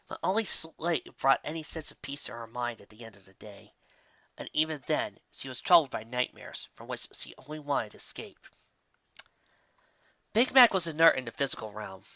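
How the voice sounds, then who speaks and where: A man's voice: medium pitch, sharp tone, dry recording. A man reading a story aloud as scripted narration. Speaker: story reader. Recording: dull, muffled, very dry.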